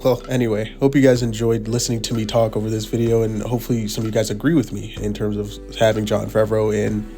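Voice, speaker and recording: A man with a hoarse voice from being sick, giving heated opinion commentary over a video, repeating himself for emphasis. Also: lowish voice, flat delivery, quick pace, few pauses, warm tone, faint music behind. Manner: pleading, repetitive emphasis.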